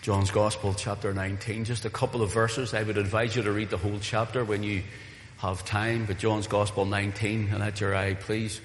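Low-voiced preacher in an echoing church hall. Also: male voice, little pitch movement, normal speed, slight background noise. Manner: earnest, builds to emphatic calls to the congregation. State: weak voice, recovering from voice loss. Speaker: pastor. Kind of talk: sermon. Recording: roomy echo of a reverberant hall.

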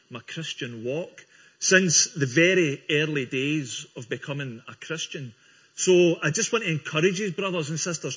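A man's voice: on the high side, pushed and bright, husky. A Scottish man from Scotland giving his church testimony to a congregation, earnest and friendly, with small restarts. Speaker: guest speaker giving testimony. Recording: dull lo-fi recording.

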